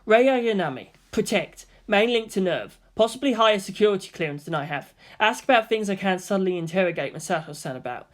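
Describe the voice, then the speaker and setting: A woman voicing a serious, reserved and quietly calculating teenage boy in a fan fiction reading. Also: mid-range voice, expressive, fairly quick, few pauses, close to an everyday voice.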